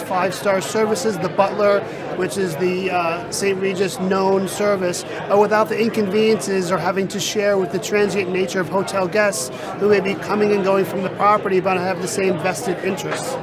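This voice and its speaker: A man speaking as a real estate sales director in an interview, with measured, polished sales talk, on a busy conference floor. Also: high voice, level tone. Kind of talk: interview at a trade event. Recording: loud crowd chatter behind. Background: crowd chatter.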